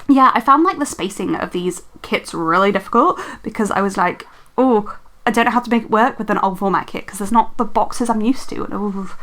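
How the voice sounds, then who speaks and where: A young woman talks her way through her week in a loose, rambling voice-over, full of ums and quick self-corrections. Her throat sounds a touch dry, and she records at home in a quiet room. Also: mid-range voice. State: slightly dry throat from dehydration. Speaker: planner vlogger. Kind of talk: casual chatty voice-over.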